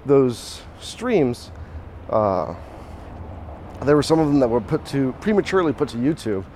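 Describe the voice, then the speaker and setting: A hoarse man hosting a podcast, talking casually while walking outdoors, rambling with restarts and small chuckles. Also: medium pitch, big pitch swings, measured pace, darkish tone. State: hoarse, voice mostly shot. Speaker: podcast host. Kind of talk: casual walk-and-talk monologue. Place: outdoors on a city street. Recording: faint outdoor street noise. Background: street and traffic noise.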